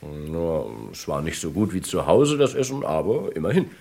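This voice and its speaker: An actor playing a cooperative kidnapping victim who hesitantly recalls details, in a vintage radio crime drama. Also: male voice, low voice, very animated, normal speed, a little mellow, slightly rough, exaggerated voice. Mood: recalling.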